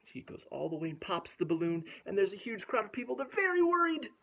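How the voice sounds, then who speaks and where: A man with a fairly high, slightly husky voice reads aloud as a children's storyteller. He delivers rhyming picture-book text playfully and expressively, leaning dramatically on the sounds of the words for his young listeners. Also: sing-song, fast talker, bright, forceful tone.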